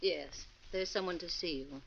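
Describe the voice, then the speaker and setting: Nurse in old radio play, lowish voice, muffled recording, faint noise. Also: female voice, moderate pace, vocal fry, a bit bright. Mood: matter-of-fact. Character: brief, quiet hospital nurse. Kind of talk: 1940s radio drama acting.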